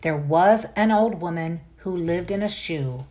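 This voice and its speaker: A woman reading a storybook aloud for children, pausing to put questions and asides to the young listeners. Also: on the low side, darkish tone, faint music behind. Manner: unhurried, addresses the listener with questions. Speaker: children's read-aloud host.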